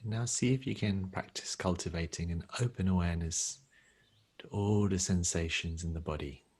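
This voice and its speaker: Deep, husky man guiding a meditation. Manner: gentle, unhurried, with long pauses. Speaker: meditation teacher. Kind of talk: guided meditation.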